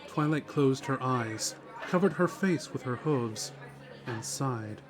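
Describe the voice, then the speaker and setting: A male narrator reads a scripted story in a medium-pitched voice. Music plays faintly behind him as he tells the tale. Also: expressive, takes it slowly.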